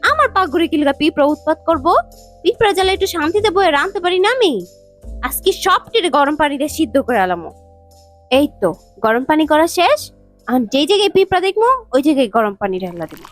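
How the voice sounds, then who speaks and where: An exasperated housewife mother in a cartoon , voiced by a performer. Her voice is high and quick, nagging and brusque, with children's music underneath. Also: sing-song, a bit bright. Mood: irritated, determined. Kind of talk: cartoon voice acting.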